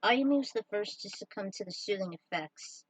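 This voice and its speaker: A woman reading her own story aloud and chatting informally to her followers, with an excitable manner. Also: mid-range voice, normal speed, no echo, recorded from a distance, rough recording. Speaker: fan fiction writer and narrator.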